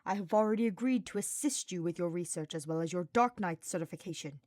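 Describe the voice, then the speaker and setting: A woman voicing an irritable, stern male dark mage in an audiobook, growling and formal. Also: fairly low pitch, fast talker, dry recording, clean studio sound, natural voice. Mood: irritated. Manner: growling, clipped through clenched teeth, formal. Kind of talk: audiobook character voice.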